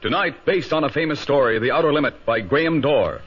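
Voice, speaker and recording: A man's voice: a little high, a little fast, breathy edge. A man announcing a 1950s radio drama in a portentous, theatrical style. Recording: dull, lightly noisy 1950s radio recording.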